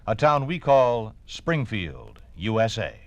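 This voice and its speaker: A man's voice: fairly low pitch, very animated, slow, no room sound. A man announcing an old-time radio drama in a dramatic, scripted style.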